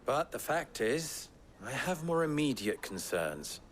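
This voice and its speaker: An actor playing a wry, wordy, sardonic fixer from a desert town in a video game cutscene. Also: male voice, mid-range voice, big pitch swings, measured pace, fairly bright tone, slight background noise. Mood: wry, measured. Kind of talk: dramatic game cutscene acting. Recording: music behind the voice. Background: clear background music.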